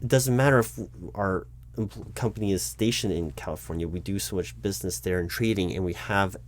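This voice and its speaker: A man playing a flustered human resources manager in an audio roleplay, explaining insistently to his boss in a halting, repetitive way. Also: deep voice, natural voice. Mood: patiently explaining. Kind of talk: one-sided improvised audio roleplay, loud spoken.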